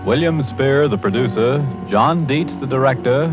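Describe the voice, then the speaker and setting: A man's voice: mid-range voice, normal speed. A man working as a radio announcer, delivering lines in a formal 1940s broadcast style. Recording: dull 1940s radio recording. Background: music.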